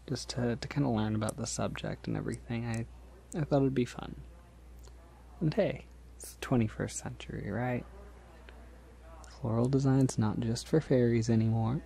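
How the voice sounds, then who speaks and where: Polite male vampire for ASMR roleplay, male actor: unhurried, slightly gravelly, gentle, hesitant, considerate. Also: medium pitch. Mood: friendly, shy. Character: polite, considerate, decades-old male vampire posing as college student. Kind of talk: soft-spoken ASMR roleplay.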